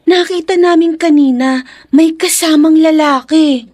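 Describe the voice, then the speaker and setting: A woman's voice: sits high, a little slow, darkish tone. A Filipino woman playing a hesitant, confiding young female friend passing on gossip in a radio drama.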